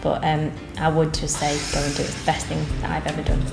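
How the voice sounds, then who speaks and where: Young woman, bakery co-owner, low earnest voice, echoey cafe room with music under it. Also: completely flat delivery, quick pace, creaky. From England. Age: 20s. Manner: earnest, plain-spoken with many ums. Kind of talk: interview answers. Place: cafe interior, seated on sofa.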